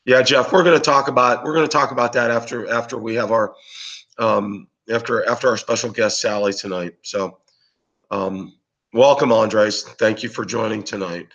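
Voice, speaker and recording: Raspy, hoarse man, low voice. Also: steady tone, normal speed, a bit bright, muffled recording. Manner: rambling, with many fillers and restarts. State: hoarse from bronchitis. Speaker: livestream show host. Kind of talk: casual live-stream chat with viewers.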